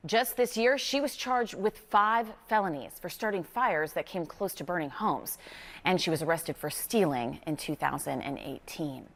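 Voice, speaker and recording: Low-voiced American news anchorwoman with long reverb. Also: animated, moderate pace, a bit bright. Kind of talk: live news presenting and interviewing.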